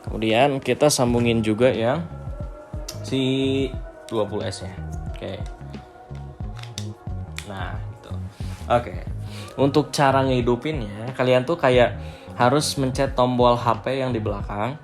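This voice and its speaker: A man's voice: mid-range voice, slow, long pauses, over music. A man giving a casual, off-the-cuff product review, hesitating often with filler phrases. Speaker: vlog host reviewing gear.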